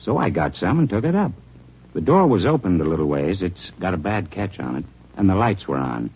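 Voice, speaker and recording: An actor playing a nervous hotel clerk who talks in a halting, rambling way, with a husky voice, in a 1950s radio drama. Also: male voice, low-pitched, sing-song, full character voice. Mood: shaken. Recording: muffled vintage radio broadcast.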